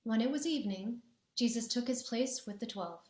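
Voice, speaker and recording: A woman narrating a gospel passage in a church dramatic reading, steady and clear. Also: a little high, normal speed. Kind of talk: scripture narration. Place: church sanctuary. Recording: echoey church room.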